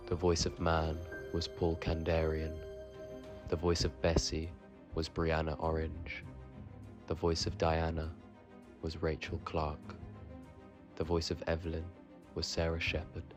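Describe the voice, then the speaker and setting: Male announcer for scripted end credits. Low, unhurried delivery over clear music. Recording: music under the voice.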